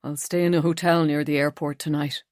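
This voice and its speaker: A woman reading an audiobook, voicing a man in his forties who calmly and apologetically leaves his partner. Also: sits low, a little fast, no echo, clean studio sound, voice pushed down, natural voice. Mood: detached.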